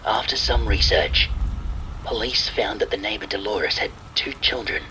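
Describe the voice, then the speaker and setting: A man's voice: lowish voice, normal speed, bright, forceful tone, a little hiss or hum, poor audio. A man reading a scripted horror story as its narrator, with rain sounds behind him.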